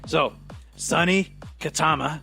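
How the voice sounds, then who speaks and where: Man playing blunt, gravelly, scruffy eccentric show host. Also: on the high side, slow pace, bright, forceful tone, faint music behind, full character voice. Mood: urging, rambling. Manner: blunt, rambling, flippant.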